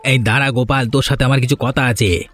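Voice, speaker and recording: A man's voice: medium pitch, steady tone, brisk, a bit bright, a little hiss or hum, lightly acted. A man voicing a scheming, cowardly landlord's servant in a cartoon drama, argumentative and insistent. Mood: pushy, threatening. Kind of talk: Bengali cartoon drama dialogue.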